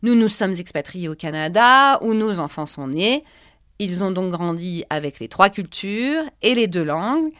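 A woman's voice: fairly low pitch, expressive, measured pace, a bit bright, no room sound, studio quality. A middle-aged woman from France hosting a podcast, narrating and interviewing in a conversational way with frequent hesitation fillers. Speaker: podcast host.

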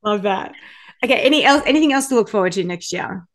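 A woman hosting a podcast in casual chat, with an animated, self-deprecating manner. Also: mid-range voice, fast talker. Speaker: podcast host.

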